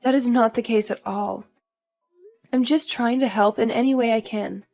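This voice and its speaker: A woman's voice: fairly high pitch, steady tone, moderate pace, darkish tone, soft background music, natural voice. A woman playing an earnest young daughter-in-law who is polite but firm, in a scripted audio story. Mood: earnest, pleading. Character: earnest, indignant young daughter-in-law standing her ground.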